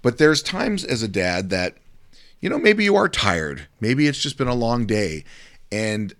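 A middle-aged man with a deep voice co-hosting a podcast, chatting casually and rambling a little, repeating words as he tells his stories. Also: sing-song, a little slow, fairly bright tone. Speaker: podcast co-host. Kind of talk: casual chat.

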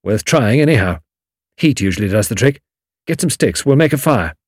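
A man's voice: medium pitch, animated, moderate pace, a little mellow, breathy edge, no room sound, studio quality. A man voicing an uneasy but eager young British adventurer, clipped and understated, in an audiobook story.

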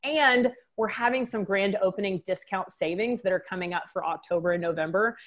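A woman's voice: mid-range voice, fairly quick. A woman from Texas with a Texan accent, a med spa owner answering interview questions in an upbeat way with many restarts. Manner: upbeat, laughs often, frequent restarts. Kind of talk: casual interview.

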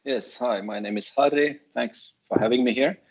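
A man's voice: medium pitch, moderate pace, darkish tone, slightly rough. A man, hesitantly introducing himself as a podcast guest. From Finland, raised in Sweden. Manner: hesitant, many fillers. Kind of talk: casual introduction.

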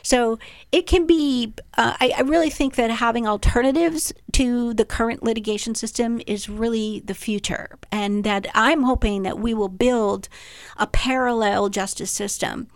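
A woman attorney with a high voice, a guest on a radio talk show, speaking frankly and laughing at herself as she explains. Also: steady tone, normal speed, no echo. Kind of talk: casual radio interview.

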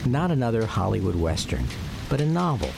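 A man narrating a scripted radio drama about his family in a measured, literary storytelling manner. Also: lowish voice, big pitch swings, vocal fry, heavy background noise. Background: loud music under the voice.

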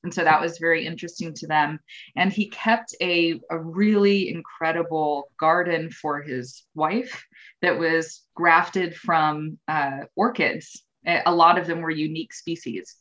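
A woman in her thirties talks as an interview guest, with a low voice. She rambles through her answers with frequent ums and false starts. The audio is dull and lo-fi. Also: steady tone, unhurried, a bit bright. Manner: rambling, with many ums and restarts. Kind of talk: casual interview conversation.

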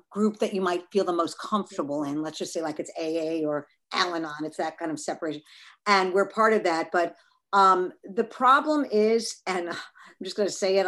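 A woman's voice: on the low side, fairly bright tone. A woman who co-founded a nonprofit speaks frankly and earnestly about mental health in a casual interview. She often restarts her sentences.

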